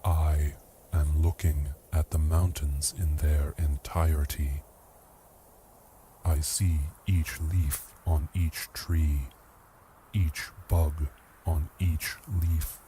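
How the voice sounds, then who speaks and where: A man voicing a mountain-sized ancient giant who speaks in a soft, hushed, solemn voice. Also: extremely low pitch, flat delivery, slight background noise, heavily acted. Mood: serene. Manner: slow, solemn, ponderous.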